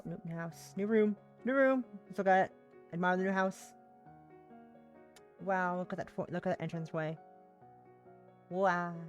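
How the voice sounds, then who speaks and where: A woman livestreaming a game, reading its story text aloud with casual comments and occasional stumbles over words. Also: medium pitch.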